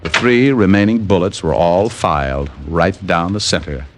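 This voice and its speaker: Actor as a wry, low-voiced radio private eye. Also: male voice, moderate pace, noisy background, close to an everyday voice. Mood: wry narrating. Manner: dry, sardonic delivery. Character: wry, wisecracking male private detective. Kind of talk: 1950s radio detective drama, first-person narration and dialogue.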